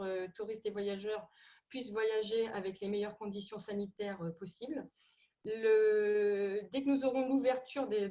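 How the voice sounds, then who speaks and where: Parisian woman, middle-aged, halting delivery full of fillers, video call talk. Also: mid-range voice, darkish tone. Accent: Parisian French. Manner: hesitant, many fillers and repeated words. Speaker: tourism ministry representative.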